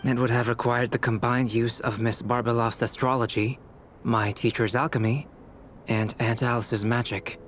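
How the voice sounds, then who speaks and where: An actor playing a calm, analytical young male alchemist in a video game, measured and explanatory. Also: medium pitch, a little hiss or hum, lightly acted. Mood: composed, explanatory.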